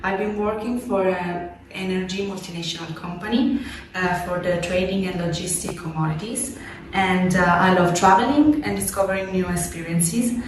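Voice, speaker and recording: An easygoing young woman with a fairly low voice. She sounds far from the mic in a very echoey room, with a faint hiss. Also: normal speed. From Sicily, Italy. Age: 20s. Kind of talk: recorded self-introduction.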